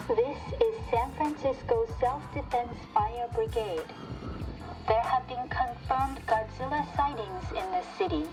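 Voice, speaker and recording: A female announcer with a fairly high voice, reading a formal safety warning over a public address system. Her delivery is crisp and measured, in an official, by-the-book way. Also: music in the background, low-quality recording.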